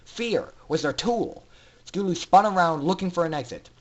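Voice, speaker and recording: A man's voice: high-pitched, lively intonation, normal speed, highs cut off. A man narrating fan fiction in a theatrical, intense style, as a dramatic story reader.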